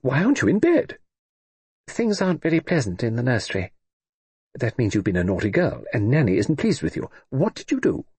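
A husky-voiced man voicing an elderly aristocratic great-aunt who is brisk, teasing and dry-witted. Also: rumbling low, sing-song, a little mellow, no echo, exaggerated voice. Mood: scolding. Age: older.

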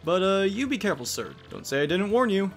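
A man's voice: high voice, very animated, quick pace, faint music behind. Man as courteous, deferential ship's sailor. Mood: deferential, cautious. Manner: formal, deferential, hesitant. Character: courteous, apologetic sailor warning his passenger.

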